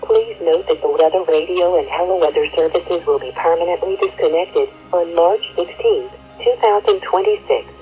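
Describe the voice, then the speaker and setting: A woman's voice: lowish voice, normal speed, few pauses, faint music behind. A synthetic-sounding woman reading a formal recorded public announcement on a weather radio service. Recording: muffled, lo-fi radio broadcast.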